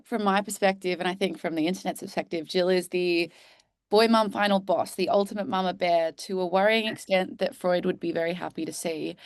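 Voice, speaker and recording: A woman's voice: fairly low pitch, no room sound. A woman from Australia interviewing a guest, upbeat with teasing questions. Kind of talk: casual interview chat.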